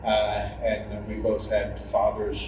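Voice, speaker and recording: Slow, halting man in a very echoey room. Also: fairly low pitch, monotone. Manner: halting, measured, pausing mid-sentence. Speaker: longtime church member. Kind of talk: reflective interview testimony. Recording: very echoey room, distant mic, noisy.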